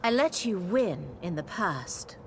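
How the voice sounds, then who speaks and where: An actress voicing a poised, proud young warrior woman in a video game, measured, formal and coolly defiant. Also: fairly low pitch, big pitch swings, very noisy, full character voice. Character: poised, proud young female warrior, loyal and sharp-tongued. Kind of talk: video game character dialogue.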